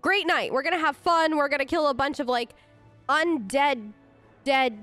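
A woman's voice: high voice, moderate pace, a bit bright, faint music behind. A woman role-playing her game character in casual, improvised dialogue, chatty, upbeat and informal.

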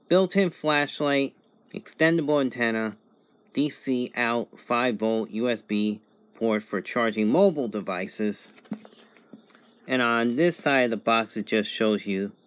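A man giving a casual unboxing and review narration in a halting, methodical way, in short phrases. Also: medium pitch, fairly bright tone, no echo. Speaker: product reviewer.

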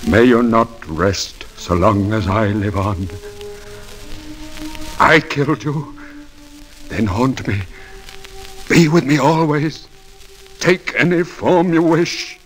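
A man's voice: mid-range voice, expressive. An actor playing a tormented, passionate romantic man in theatrical, anguished 1940s radio drama style. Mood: raging grief. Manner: anguished, theatrical, slow declamation. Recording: old radio recording with music.

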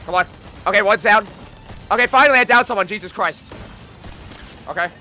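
A man's voice: sits high, a little fast, pushed and bright, faint music behind. A man voicing a brash, bossy, foul-mouthed hedgehog antihero while bantering over a video game. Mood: excited, mocking. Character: cocky, foul-mouthed hedgehog Shadow, parody of the brooding antihero. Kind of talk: gaming commentary with role-played banter.

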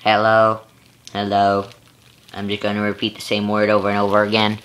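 A man's voice: lowish voice, monotone, normal speed. A teenage boy vlogging from his bedroom to a webcam, chatty and self-amused, thinking aloud as he goes. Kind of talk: casual rambling vlog. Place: small bedroom, indoors. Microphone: webcam at arm's length. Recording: noisy home webcam recording.

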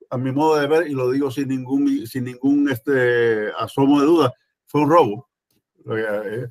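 A Puerto Rican man in his sixties talks over a laptop webcam from a small room. He is earnest and halting, filling his pauses with little sounds, at a plain middle pitch. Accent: Puerto Rican Spanish. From Puerto Rico. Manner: earnest, with many pauses and fillers. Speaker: guest caller. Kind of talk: online video call talk. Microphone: laptop webcam on video call.

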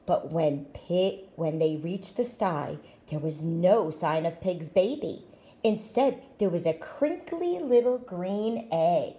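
Slow, expressive woman reading a storybook. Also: fairly low pitch. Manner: expressive, deliberate. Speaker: storytime reader, school staff. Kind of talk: children's picture book read-aloud.

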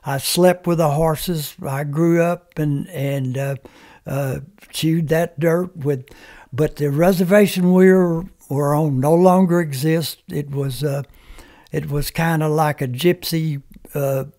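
Older veteran telling stories, halting. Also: male voice, on the high side, steady tone, mellow. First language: Comanche. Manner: halting, plain-spoken storyteller. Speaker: guest, veteran. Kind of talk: reminiscing interview talk. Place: small podcast studio. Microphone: studio mic on stand, headphones.

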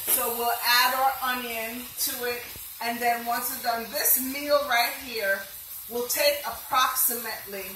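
A middle-aged woman with a fairly high voice talks through a home cooking demo step by step. She is recorded from across a roomy, echoing kitchen by a distant camera. A loud hiss and a sizzling skillet run under her voice. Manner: chatty, narrates each cooking step. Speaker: home cooking show host.

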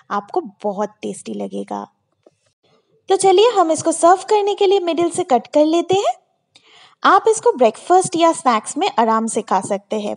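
High, lively woman cooking host. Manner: friendly, instructive, repeats steps clearly. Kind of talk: step-by-step recipe voice-over.

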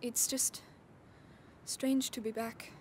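High-voiced, determined teenage girl. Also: level tone, slow, a little mellow, slight background noise. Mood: tender, subdued. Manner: earnest, emotional. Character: determined teenage girl, protective big sister. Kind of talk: dramatic video game acting. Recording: game soundtrack music behind.

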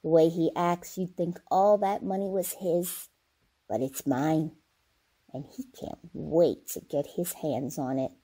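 A woman's voice: low-pitched, fairly even intonation, unhurried, dark tone, husky. A woman playing a feisty, blunt great-grandmother of ninety-six in an old-time radio comedy. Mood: grumbling. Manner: blunt, folksy.

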